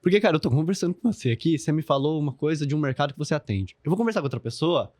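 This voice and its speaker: Fast, slangy young Brazilian guy. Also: a little high, lively intonation, a little mellow, dry recording. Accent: Brazilian Portuguese. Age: 20s. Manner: slangy, swears freely, heavy fillers. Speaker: podcast guest, business founder. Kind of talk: casual podcast chat.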